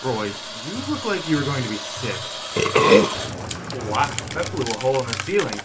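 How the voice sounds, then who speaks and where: An exasperated sidekick played by a man in a comic radio play, scolding with heavy sarcasm and disbelief. The voice sits at a middle pitch and jumps about expressively as it nags. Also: sing-song, normal speed, heavy background noise, natural voice. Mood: incredulous. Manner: sarcastic, nagging, incredulous.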